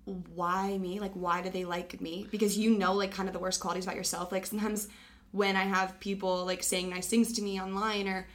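A young woman hosts a casual podcast and speaks in an earnest, chatty manner. Her voice sits at an ordinary middle pitch for a woman. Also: little pitch movement, fairly quick. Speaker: podcast host.

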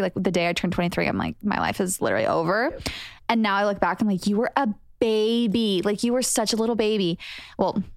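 A woman in her twenties talks as a podcast guest in a mid-pitched voice. She rambles reflectively with plenty of fillers, recorded close and dry. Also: no echo. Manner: chatty, reflective, lots of "like". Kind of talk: casual chat.

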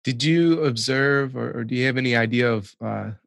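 An interviewer with a mid-pitched, gravelly voice asks questions in a loose, searching way. Between the guest's answers come short listening sounds. The sound is close and dry. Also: normal speed, fairly bright tone, dry recording. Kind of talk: conversational interview.

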